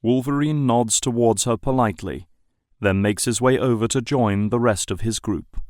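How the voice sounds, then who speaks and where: A man's voice: mid-range voice, normal speed, darkish tone, no room sound, clean studio sound. A man narrating an audio drama in a scripted, dramatic storytelling style.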